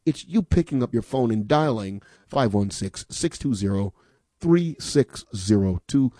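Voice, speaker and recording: A man's voice: mid-range voice, animated, measured pace, warm tone, no room sound. Emphatic man hosting a radio pledge drive. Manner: emphatic, repeats phone number, rhetorical questions. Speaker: radio fund drive host. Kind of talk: on-air pledge appeal.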